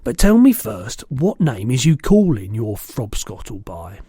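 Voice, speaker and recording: A man's voice: mid-range voice, sing-song, a little slow, warm tone, slightly rough, no room sound, studio quality. Man voicing an exuberant, swooping old friendly giant. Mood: indignant, curious. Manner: emphatic, exclaiming, mangled words and grammar. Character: big friendly old giant, exuberant, indignant, muddled speech.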